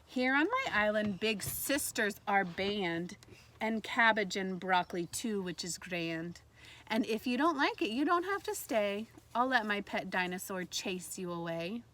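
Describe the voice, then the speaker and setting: A woman's voice: mid-range voice, sing-song, measured pace, a bit bright. A woman teacher leading a read-aloud lesson for young children, explaining things simply and prompting her listeners. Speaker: elementary school teacher.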